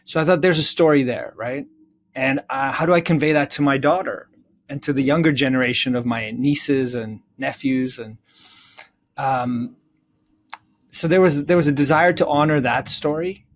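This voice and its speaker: A middle-aged man from Czechoslovakia speaking as an interview guest, earnest and reflective, haltingly building lists and rhetorical questions. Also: medium pitch. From Czechoslovakia, moved to North America. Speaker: interview guest, psychotherapist and author.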